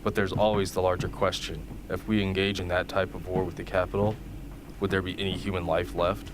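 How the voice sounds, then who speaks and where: A man acting a measured, pragmatic military officer in an amateur radio drama. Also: low-pitched, level tone, lightly acted. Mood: serious, defensive. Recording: noisy hobby recording.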